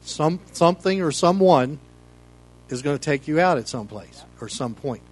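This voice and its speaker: A man's voice: on the high side, lively intonation, moderate pace. Folksy American man teaching like a pastor. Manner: folksy, rambling, peppered with "you know". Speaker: pastor leading a Bible study. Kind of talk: informal sermon, conversational teaching.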